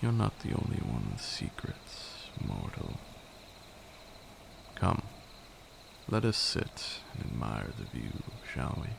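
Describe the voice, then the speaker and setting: A man's voice: wildly swooping pitch, noisy background, heavily acted. A man playing an ancient, brooding vampire lord in an intimate ASMR roleplay, seductive and menacing, with an archaic, commanding way of talking. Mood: proud, wistful. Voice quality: deep gravelly voice, breathy at times. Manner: archaic, deliberate, teasing and commanding.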